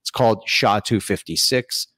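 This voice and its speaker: Low-voiced man hosting an interview. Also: normal speed, fairly bright tone, no room sound, clean studio sound. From Saudi Arabia. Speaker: interviewer and host. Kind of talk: video interview with long opinionated questions. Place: small home room, indoors. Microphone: video call.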